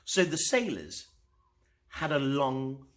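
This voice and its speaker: A man reading a children's storybook aloud as host, expressively and with dramatic pauses. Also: mid-range voice, slight background noise. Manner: animated, unhurried, dramatic pauses.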